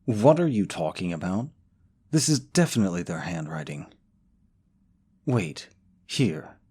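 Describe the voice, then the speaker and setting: A man's voice: low-pitched, sing-song, measured pace, mellow, husky, full character voice. A young man acting in an audio roleplay as a scheming, possessive boyfriend, falsely sweet and coaxing, snide about his rival. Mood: scheming, pleading.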